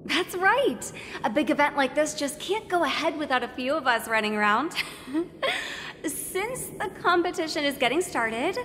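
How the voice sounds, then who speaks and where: A woman's voice: high-pitched, bright, forceful tone, full character voice. A woman playing a polite, eager young journalist in a video game, with a formal presenter's manner. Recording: long reverb, music behind. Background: music.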